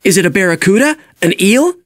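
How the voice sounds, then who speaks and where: A man's voice: high-pitched, lively intonation, a little fast, dry recording, studio quality, pitched above the performer's own voice. A man voicing a brave, friendly young boy fish with an eager, childlike manner in a storybook. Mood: worried.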